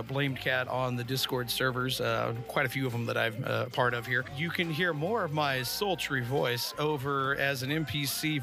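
Gravelly, creaky-voiced man chatting. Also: medium pitch. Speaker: player and voice actor. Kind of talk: casual chat.

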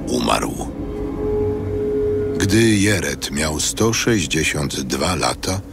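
Very deep, slow-paced male narrator. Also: creaky, background noise. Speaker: narrator of an audio Bible. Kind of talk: scripted scripture narration, measured and solemn.

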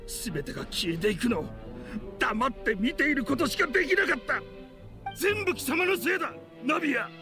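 Bitter, vengeful anime villain, swooping pitch. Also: male voice, heavily acted. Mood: anguished accusation. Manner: rough, contemptuous speech with theatrical accusation. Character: bitter, vengeful man in a grudge-driven confrontation. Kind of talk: anime game voice acting.

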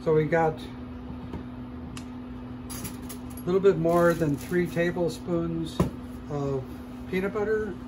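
Slow-talking man demonstrating a recipe. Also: fairly high pitch, noisy background. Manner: unhurried, step-by-step explaining while cooking. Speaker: cooking show host. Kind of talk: casual cooking demonstration. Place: shop demo kitchen, indoors.

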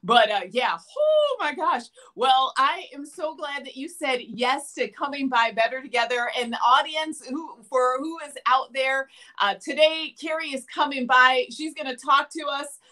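A middle-aged woman hosts a live online show from a room at home, speaking into her webcam with big, animated energy. Her voice is fairly high and very bright. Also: a little slow. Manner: animated, gestures a lot. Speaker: host. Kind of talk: live video show chat. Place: home room with plant and mannequin.

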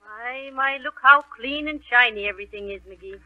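A woman's voice: fairly high pitch, moderate pace, sharp tone. An actress playing a sensible wife who dryly chides her husband in 1930s radio comedy. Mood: marvelling. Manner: chiding, dry. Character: sensible, scolding wife, gently mocking. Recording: dull, noisy 1930s radio recording.

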